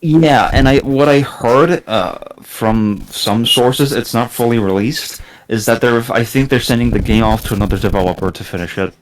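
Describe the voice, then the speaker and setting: A man's voice: mid-range voice, normal speed, few pauses, slight background noise, low-quality recording. A young man from Estonia, not a native English speaker, chatting casually on a gaming podcast, with many fillers and restarts. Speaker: podcast guest.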